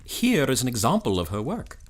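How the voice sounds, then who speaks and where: An actor playing a suave, formal gentleman in a period audio drama, quietly sly and assured with a wry manner. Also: medium pitch, wildly swooping pitch, a little fast. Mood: sly, amused.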